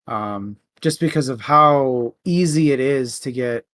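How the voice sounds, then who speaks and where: A man's voice: mid-range voice, measured pace, a bit bright, no echo. A man hosting a casual book discussion. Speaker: host of a book discussion stream.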